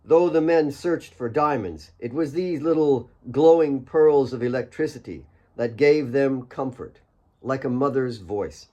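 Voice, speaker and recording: A man's voice: mid-range voice, measured pace, darkish tone, highs cut off. A man reading his own novel as an audiobook, in scripted dramatic narration.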